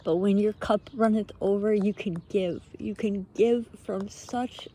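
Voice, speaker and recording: A woman speaks slowly in a warm, relaxed tone at a middle pitch. She delivers an unscripted motivational talk with spiritual leanings. Her manner is earnest and halting, built from short phrases and questions put straight to the listener. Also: poor audio.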